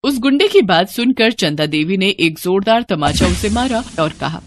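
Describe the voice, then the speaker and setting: A woman's voice: mid-range voice, big pitch swings, normal speed, slight background noise. A woman narrating a scripted story as a storyteller, in a dramatic style.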